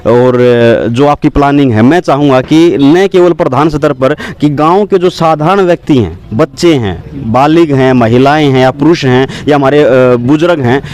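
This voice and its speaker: A man interviewing a village head, asking long questions with many hesitations. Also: on the high side. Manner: long hesitant questions with fillers, frequent soft hums.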